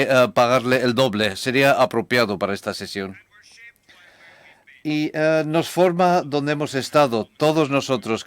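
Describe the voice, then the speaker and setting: Clear-voiced man giving a conference talk. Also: medium pitch, fairly bright tone, dry recording. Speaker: conference speaker.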